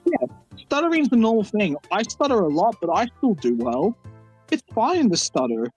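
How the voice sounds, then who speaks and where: A man's voice: sits high, big pitch swings, moderate pace, a little mellow, slightly rough, faint music behind. A man who stutters and repeats words, chatting earnestly on a game livestream. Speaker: co-host. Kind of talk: casual livestream chat.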